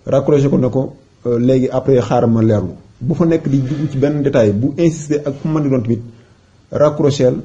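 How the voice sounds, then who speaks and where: Male tutorial presenter, Senegalese accent, medium pitch, very soft-edged dark tone, informal advisory delivery. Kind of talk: informal explainer voice-over. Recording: dull, muffled lo-fi recording.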